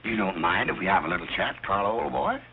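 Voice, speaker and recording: Gruff middle-aged patriarch, 1930s screen comedy, curt, sardonic, lowish voice, faintly noisy old film sound. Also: male voice, big pitch swings, fast, muffled recording, full character voice. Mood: grimly resolved. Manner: blunt, dry sarcasm. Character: gruff, exasperated wealthy father losing his fortune.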